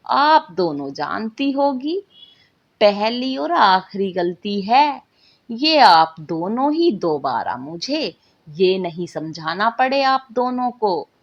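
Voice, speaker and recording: A woman's voice: medium pitch, sing-song, takes it slowly, lo-fi sound, exaggerated voice. A woman voicing a strict, commanding male magic teacher who lectures and scolds in a bedtime story. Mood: stern.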